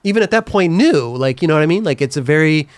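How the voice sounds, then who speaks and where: A man's voice: on the high side, expressive, fast talker, no room sound. A man hosting a podcast in casual chat, jokey and often repeating himself. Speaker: podcast host.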